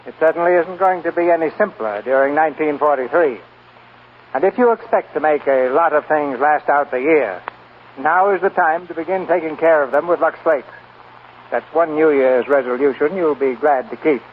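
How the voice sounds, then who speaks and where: A man presents radio theater in a measured, formal 1940s style. His voice is fairly high, on a narrow, tinny recording with light noise. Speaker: radio theater host and narrator. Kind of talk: 1940s radio hosting, scripted narration.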